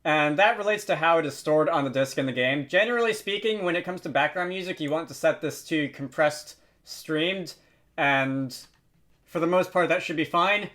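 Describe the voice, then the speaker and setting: A man narrating a software tutorial in a casual, informal way, pausing often as he explains. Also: a little high. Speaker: tutorial host.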